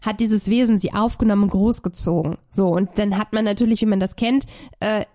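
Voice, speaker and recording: A woman's voice: medium pitch, steady tone, a little fast, dark tone. Woman with a cold chatting about a film. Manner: rambling, many fillers and restarts. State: has a cold. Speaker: podcast co-host. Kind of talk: casual film review chat.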